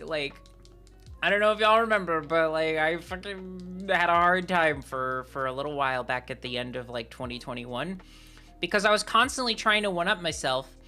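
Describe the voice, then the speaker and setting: A young woman streamer chatting casually and candidly with her viewers, her voice lowered by a sore throat, rambling with lots of "like" fillers. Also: pushed and bright. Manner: rambling and candid, heavy use of "like". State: sore throat, voice deeper than usual. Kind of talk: casual live-stream chat.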